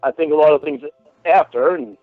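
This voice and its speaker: A man's voice: a little high, faint music behind. Stuttering man on a tinny phone line. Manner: halting, defensive. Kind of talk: casual phone conversation.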